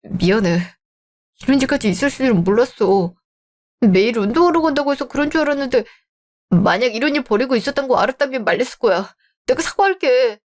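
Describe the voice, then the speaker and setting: A woman's voice: high voice, animated, brisk. A woman voicing an apologetic young man who pleads and apologizes anxiously in a radio drama. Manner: pleading, flustered.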